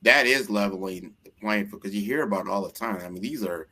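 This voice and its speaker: A man from the United States with a fairly low voice, speaking conversationally as the host of an interview. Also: fast talker, sharp tone. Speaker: show host.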